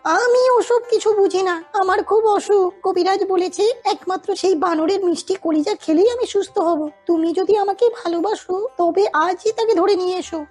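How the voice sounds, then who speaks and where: A woman's voice: extremely high pitch, normal speed, a little mellow. A woman voicing a demanding crocodile wife in a children's cartoon fable, coaxing and insistent. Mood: stubborn, pleading. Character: demanding, wheedling crocodile wife craving monkey liver.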